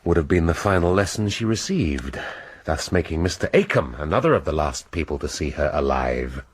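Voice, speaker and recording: Casting: a deep-voiced, husky, dry-witted veteran detective. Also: male voice, animated, moderate pace, full character voice. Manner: dry, formal, wordy. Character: brusque, cerebral senior male detective. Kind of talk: radio drama acting.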